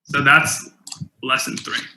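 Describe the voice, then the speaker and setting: A young man speaks in an earnest keynote, with stuttered repeats and "um"s breaking up his phrases. His voice is very bright and forward, and it rings a little in an echoey room. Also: slow pace, slight background noise. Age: young adult. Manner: earnest, with stutter repetitions and fillers. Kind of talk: motivational keynote talk.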